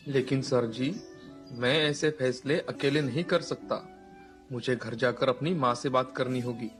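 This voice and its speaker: A man's voice: medium pitch, measured pace, faint music behind, close to an everyday voice. A man playing an earnest, devoted working-class father in a dramatised children's story. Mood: hesitant.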